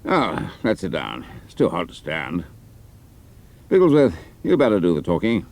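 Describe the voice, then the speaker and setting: A man playing a commanding army major in a radio drama, brisk, clipped and authoritative. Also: sits low, huge pitch swings, creaky, a little mellow, exaggerated voice. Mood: businesslike. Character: commanding male military intelligence major, older officer.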